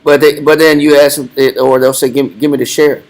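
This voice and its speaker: A man taking part in a group Bible discussion, halting and hesitant, often restarting his sentences. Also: fairly high pitch, level tone. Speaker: Bible study participant.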